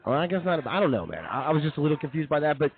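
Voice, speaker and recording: A man with a fairly high, animated voice trades casual jokes as a co-host. He talks quickly and slangily, musing as he goes. The sound is narrow and tinny. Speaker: comedy show co-host. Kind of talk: casual comic banter. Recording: tinny lo-fi radio stream.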